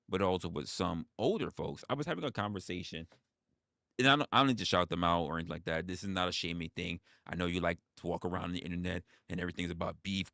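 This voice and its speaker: A man in his forties holds forth on a casual livestream in a low, heavy voice. He leans hard on his points, repeats phrases and swears freely. The sound is dry and close, with no room around it. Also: creaky, bright, forceful tone, no room sound. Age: 40s. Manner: emphatic, repeats phrases, profane. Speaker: livestream host.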